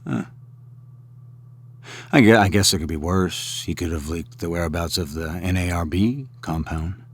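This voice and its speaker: An actor playing a wary, brooding government official in an audio drama. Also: male voice, sits low, moderate pace, breathy edge.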